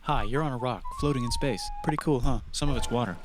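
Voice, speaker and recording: A man rattles off short, absurd lines at high speed in a flat, deadpan delivery. His pitch sits in a middle range. Loud music plays under the narration. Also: fast talker. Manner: deadpan, abrupt absurd quips. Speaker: narrator of a played video. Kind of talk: rapid comic narration.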